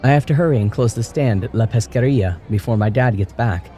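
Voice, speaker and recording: A man's voice: medium pitch, brisk, natural voice. A man voicing a restless teenage girl. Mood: pleading. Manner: pleading, then shouting in anger.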